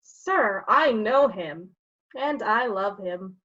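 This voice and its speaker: A woman acting a bawdy, slanderous gentleman rogue in a staged Shakespeare reading, with a cheeky, insinuating, theatrical delivery. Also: mid-range voice, expressive, slow, dull audio, full character voice. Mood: defiant.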